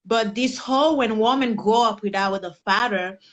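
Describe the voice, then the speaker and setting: A young woman from Haiti speaks with a clear Haitian accent, pitched a little high. She talks earnestly and openly as a guest in a relaxed interview, her English slightly non-native in its phrasing. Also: moderate pace. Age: young adult. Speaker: guest, personal development coach. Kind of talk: conversational interview.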